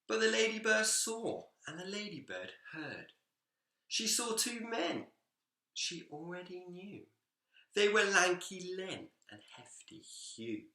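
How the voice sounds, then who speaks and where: A man reading a children's picture book aloud in an expressive, animated storytelling manner. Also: sits high, takes it slowly. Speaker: school story-time reader, teacher. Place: indoors, seated in a reading chair. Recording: echoey room.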